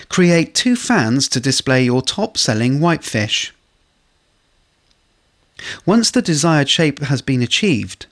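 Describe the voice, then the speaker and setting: A man reading scripted instructional narration for a corporate training film, in a measured way. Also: medium pitch.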